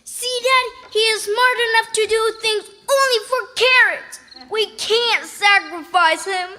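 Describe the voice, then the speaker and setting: A woman's voice: very high voice, measured pace, sharp tone, full character voice. A woman playing a stubborn young boy in a children's puppet show, pleading and protesting emphatically over his beloved pet.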